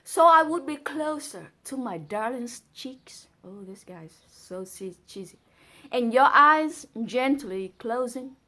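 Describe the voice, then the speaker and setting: A woman's voice: a little high, animated, slow pace, sharp tone. A woman with a Vietnamese accent, a native Vietnamese speaker, casually reading a poem with playful, chatty asides. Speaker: presenter reading a translated poem.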